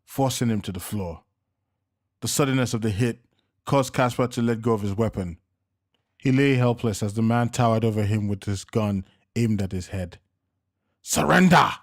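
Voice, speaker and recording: A man narrating a crime storybook in a dramatic style, dropping low on tense moments. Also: darkish tone, no echo, clean studio sound. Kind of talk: scripted audiobook narration.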